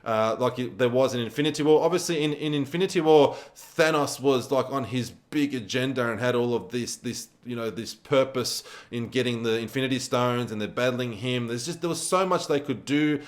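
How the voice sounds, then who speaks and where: A man's voice: mid-range voice, a little fast, hardly any pauses. Man giving a casual movie review. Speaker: film reviewer.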